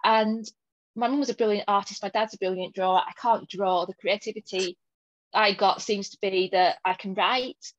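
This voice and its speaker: A woman's voice: mid-range voice, steady tone, moderate pace, no echo, muffled recording. A woman from Lancashire with a mild Lancashire accent, answering interview questions as a guest author in an articulate, reflective way. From Lancashire, England.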